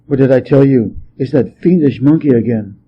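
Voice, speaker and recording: A man's voice: mid-range voice, moderate pace, highs cut off, a little hiss or hum, natural voice. A man voicing an imperious celestial emperor in a storybook, with a formal, commanding manner. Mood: aghast. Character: stately male celestial emperor, imperious and easily dismayed.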